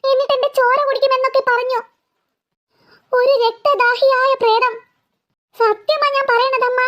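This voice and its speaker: A woman's voice: very high-pitched, flat delivery, moderate pace, fairly bright tone. A woman voicing an easily frightened, insistent young girl who pleads and stammers in a comic horror skit.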